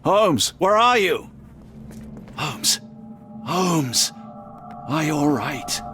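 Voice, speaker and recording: An actor playing a detective's loyal, anxious, good-natured male companion in a video game, with old-fashioned gentlemanly speech. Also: fairly high pitch, big pitch swings, very slow, heavily acted. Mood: alarmed concern. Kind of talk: dramatic video game voice acting. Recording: music bed under the voice.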